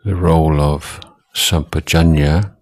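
A man teaching guided meditation as a monk, speaking in short, deliberate phrases with long pauses between them. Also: very deep voice, steady tone.

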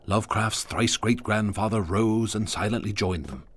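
A man narrating a radio drama in a measured, ominous storytelling manner. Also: fairly low pitch, slight background noise.

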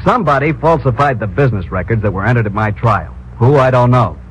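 A man's voice: medium pitch, animated, fast talker, slight background noise, close to an everyday voice. A man playing an indignant American oil prospector. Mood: earnest. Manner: protesting, emphatic. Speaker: radio drama actor. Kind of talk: 1950s radio acting. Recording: dull old radio broadcast recording.

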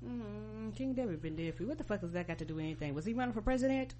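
A woman's voice: mid-range voice, expressive, rapid-fire, warm tone, a little hiss or hum. An American woman co-hosting a podcast, chatting casually and reacting to her partner in a blunt, slangy way. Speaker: podcast co-host. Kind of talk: casual chat, reacting to co-host.

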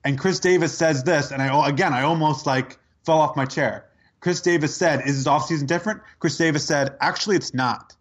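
Male co-host, casual sports chat, animated and laughing, a touch high. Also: a little fast. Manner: animated, incredulous, laughing storyteller. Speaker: podcast co-host.

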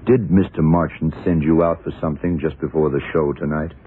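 Very deep-voiced, methodical radio drama detective. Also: male voice, fairly even intonation, narrow, tinny audio. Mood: probing, matter-of-fact. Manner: deliberate, probing, punctuated with yeah and right. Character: methodical, blunt, self-assured male police detective. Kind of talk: 1970s radio drama acting. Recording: noisy, band-limited old radio recording.

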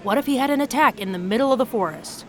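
A woman's voice: a little high, expressive, quick pace, a bit bright. A woman playing a stern, sullen teenage girl at camp in an audio drama, blunt and reproachful. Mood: scolding.

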